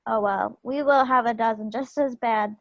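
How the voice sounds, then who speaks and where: A plucky, loving young wartime wife played by a woman reading a stage play. Her voice sits fairly high, and she chats tenderly and easily. The video call sound is dry and a little dull. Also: moderate pace, a bit bright, dry recording. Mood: chatty. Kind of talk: dramatic table read of a stage play. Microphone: computer mic on a video call.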